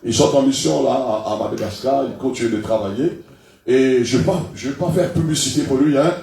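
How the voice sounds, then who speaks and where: A man's voice: mid-range voice, fairly quick, vocal fry, warm tone. A middle-aged pastor with a cold making chatty announcements to his congregation, often adding "hein" and hesitating. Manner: chatty, peppers speech with "hein", hesitant fillers. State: has a cold. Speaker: pastor leading the church meeting. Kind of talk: church announcements. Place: church hall. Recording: very echoey hall from far off.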